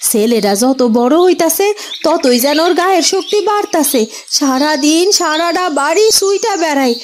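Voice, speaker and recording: A woman's voice: very high voice, a little hiss or hum. A woman voicing a poor woodcutter's caring wife in a cartoon, homely and affectionate, with a rural eastern Bengali dialect. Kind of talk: cartoon voice acting.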